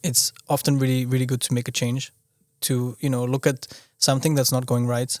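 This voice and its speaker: A man's voice: medium pitch, flat delivery, a little fast, a little mellow, no echo, clean studio sound. A young man from Austria, a podcast guest talking casually, often restarting his sentences and leaning on "you know". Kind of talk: casual conversational interview.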